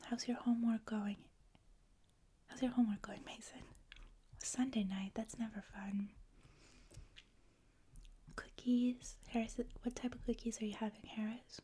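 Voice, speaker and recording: A woman with a Canadian accent, a native French speaker, hosting a livestream in a hushed, whispery ASMR style, chatting casually with viewers. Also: fairly high pitch, steady tone, a little slow, pauses often, slight background noise. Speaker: livestream host. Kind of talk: soft-spoken ASMR, casual chat with viewers.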